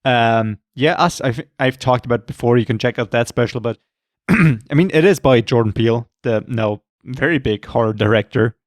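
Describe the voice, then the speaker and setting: A man co-hosting a podcast, talking casually about horror films, rambling and swearing often, with a voice going hoarse. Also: mid-range voice, normal speed, no room sound, clean studio sound. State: losing his voice, throat clearing. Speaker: podcast co-host. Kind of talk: casual film chat.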